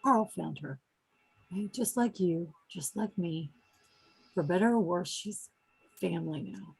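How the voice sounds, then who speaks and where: An actress playing a weary, protective woman with a husky, creaky voice, earnest and subdued. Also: fairly low pitch, lively intonation, a little slow, heavily acted, low-quality recording. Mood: resigned.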